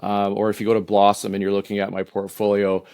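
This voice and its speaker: Casting: Canadian man, fifties, low voice, easygoing, halting guest answers. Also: clean tone. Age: 50s. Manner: relaxed, hesitant, many "uh" and restarts. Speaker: podcast guest. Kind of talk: casual interview answers.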